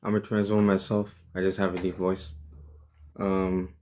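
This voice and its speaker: A man's voice: deep voice, completely flat delivery, normal speed, heavy background noise. Young man caller, blunt and mocking. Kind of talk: live call-in debate.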